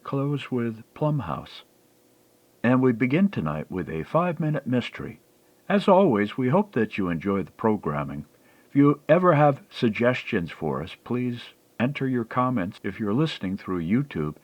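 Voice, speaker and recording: A man hosting an old-time radio program, announcing in a deliberate way and drawing out some words. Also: mid-range voice, sing-song, no echo, muffled recording.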